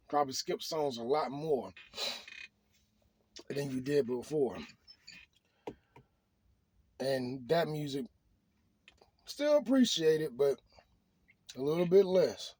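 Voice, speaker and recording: A man's voice: mid-range voice, lively intonation, slow, pauses often, a little mellow. A middle-aged man talking off the cuff in an opinion monologue, preachy and emphatic, often repeating his phrases.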